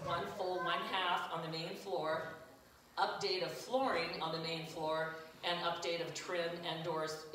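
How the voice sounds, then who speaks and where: An older woman reading church council announcements from her notes, loud and matter-of-fact, her voice a little low for a woman. She was picked up by a far-off camera in a large church, so the sound is very echoey and distant, with a clear hiss underneath. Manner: loud, plain reading with a self-deprecating aside. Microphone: far camera at the back.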